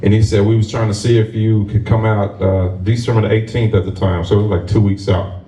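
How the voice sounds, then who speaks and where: A man, a stage actor, tells a lively story to a live audience with laughs, then closes with motivational encouragement. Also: on the low side, a little fast. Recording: roomy sound from a distant mic.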